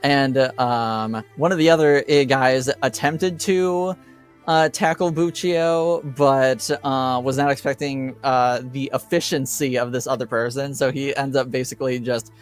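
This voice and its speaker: A man improvising as game master of a tabletop game, with a halting, hesitant delivery. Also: medium pitch, steady tone, clean tone, soft background music.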